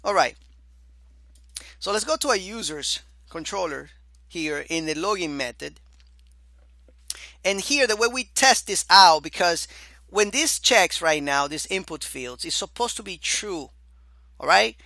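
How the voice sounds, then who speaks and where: Bright, dry-voiced man teaching code. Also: sits high, lively intonation, measured pace, vocal fry, long pauses, dry recording. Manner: casual, repeats "all right" and "my dear student". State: hoarse, losing his voice. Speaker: programming course instructor. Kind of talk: screen-recorded coding lecture.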